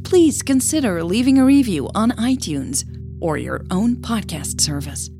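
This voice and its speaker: A woman narrating scripted audio fiction in a measured storytelling manner, phrase by phrase. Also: mid-range voice, sing-song, mellow, faint music behind. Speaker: podcast host and narrator.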